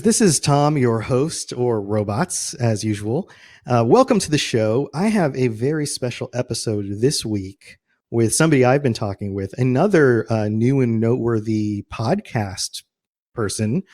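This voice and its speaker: A man's voice: mid-range voice, a little mellow. A man hosting a podcast interview in a casual, conversational style.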